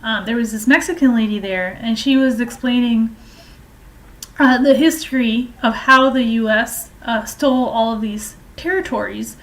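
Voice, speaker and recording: Woman w a highish voice explaining politics and history informally, kinda halting and hesitant. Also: poor audio.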